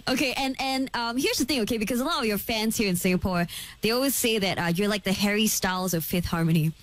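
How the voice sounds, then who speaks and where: A woman's voice: medium pitch, fast, dry recording. A woman hosting radio in an upbeat presenting style, bubbly and effusive as she does a celebrity interview. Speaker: radio host. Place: radio studio. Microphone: studio broadcast microphone.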